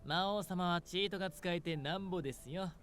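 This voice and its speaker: A man's voice: sits high, very fast, a bit bright, soft background music. A voice actor playing an easygoing, teasing demon king in a Japanese visual novel, with a breezy, casual manner. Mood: playful teasing.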